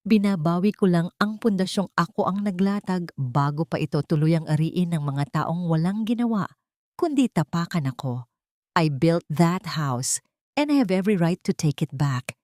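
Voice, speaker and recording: Narrator as steely wronged wife, low and firm. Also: female voice, normal speed, dry recording, studio quality, natural voice. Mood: steely resolve. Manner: firm, steady, resolute.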